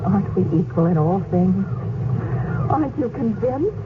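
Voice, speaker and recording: A woman's voice: medium pitch, expressive, moderate pace, close to an everyday voice. An actress playing Oriana, a tender and spirited noblewoman with an earnest way of talking. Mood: teasing. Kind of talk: 1970s radio drama acting. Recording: tinny, hissy old radio broadcast.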